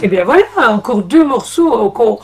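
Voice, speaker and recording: A woman's voice: a little high, lively intonation, normal speed. A woman co-hosting a radio music show, chatting and chiming in with short agreeing remarks. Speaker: radio co-host. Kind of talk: casual chat.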